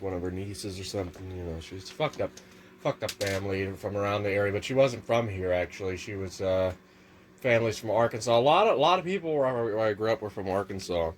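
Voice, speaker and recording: A man with a noticeably nasal, somewhat low voice rambles through a casual home vlog, restarting his sentences and cursing offhandedly. He wanders from thought to thought. A faint background hum sits under the voice. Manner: meandering, restarts often, swears casually. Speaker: vlogger. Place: home kitchen near a microwave.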